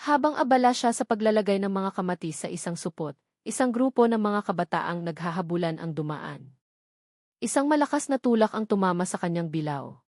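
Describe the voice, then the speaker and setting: A woman's voice: medium pitch, expressive, brisk, a little mellow, dry recording, studio quality. A woman narrating a scripted romantic drama story as a dramatic storyteller.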